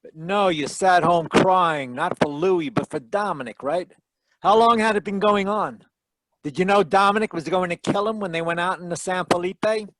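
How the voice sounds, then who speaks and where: An actor playing a brusque, world-weary private detective in 1940s radio style, terse and wry. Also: male voice, sits high, moderate pace, fairly bright tone, dry recording, poor audio. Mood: brusque, pressing. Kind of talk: 1940s radio detective acting with first-person narration.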